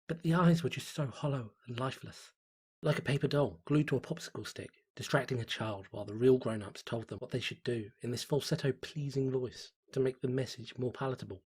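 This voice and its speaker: A man's voice: medium pitch, slightly rough, natural voice. A man playing a fearful, exhausted tourist, brooding uneasily through a diary in a horror story reading.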